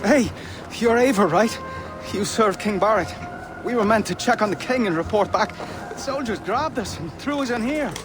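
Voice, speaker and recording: A jailed messenger. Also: male voice, sits high, expressive, normal speed, over music. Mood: urgent. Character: grateful male prisoner, a messenger.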